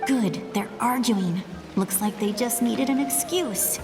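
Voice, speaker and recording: A spirited young sneaking heroine. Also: female voice, fairly high pitch, slow, over music. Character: spirited young woman sneaking past guards.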